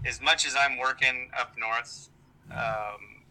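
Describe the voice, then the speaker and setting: A man's voice: mid-range voice, slow, bright, forceful tone, poor audio. An American man in a casual, profane chat, rambling along with wry self-deprecation. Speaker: golf vlogger and amateur golfer.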